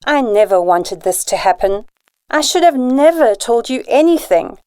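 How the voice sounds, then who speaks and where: Clear-voiced regretful gangster's daughter played by a woman. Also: on the high side, sing-song, normal speed, dark tone, no room sound. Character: regretful young daughter of a mob boss. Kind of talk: radio drama acting.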